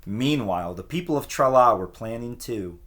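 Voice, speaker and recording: Lively man reading a storybook. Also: medium pitch, normal speed. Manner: expressive, storytelling cadence. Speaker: teacher reading aloud. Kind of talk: children's storybook read-aloud.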